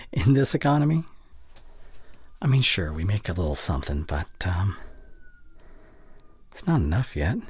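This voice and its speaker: Guy acting as a caring young boyfriend, really breathy low voice, soft hesitant murmuring, asmr roleplay, dry close mic. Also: dry recording, heavily acted. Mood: earnest, thoughtful. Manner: gentle, hesitant, murmuring reassurance. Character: anxious, caring young boyfriend comforting partner. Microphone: close microphone.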